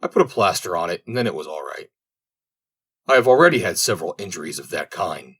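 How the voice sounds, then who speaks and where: Casting: male narrator as unbothered idle manservant in a fairy tale, rough voice, resigned, very varied intonation. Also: low voice, lively intonation, fast talker, heavily acted. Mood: nonchalant. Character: placid lazy servant, unbothered and resigned.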